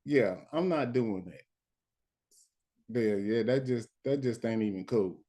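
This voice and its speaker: Halting, tired late-night stream host. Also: male voice, lowish voice, dark tone. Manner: halting, many restarts and "hold on". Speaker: stand-in host of a live stream. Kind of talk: casual live-stream talk.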